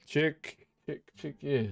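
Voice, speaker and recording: A man's voice: on the high side, animated, extremely slow, vocal fry, husky, soft background music. A man reading a novel aloud on a live stream, chatting casually with viewers between passages and swearing freely. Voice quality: naturally loud voice. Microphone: desk microphone.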